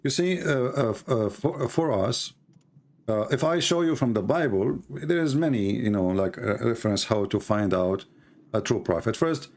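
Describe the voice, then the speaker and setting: A man's voice: medium pitch, normal speed, darkish tone. A man whose first language is Arabic, hosting a live debate call in earnest, repetitive, broken English. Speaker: host of a live debate show.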